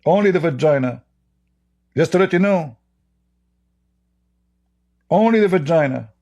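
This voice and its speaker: Man with Arabic as his first language, fairly high voice, sarcastic, mocking host. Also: animated, measured pace, dark tone, muffled recording. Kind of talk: casual commentary.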